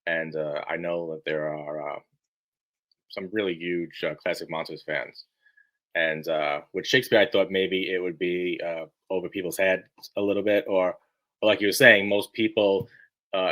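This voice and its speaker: Deep-voiced man, halting and rambling. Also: flat delivery, a bit bright. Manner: halting, rambling, restarts sentences often. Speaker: book editor being interviewed. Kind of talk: casual interview chat.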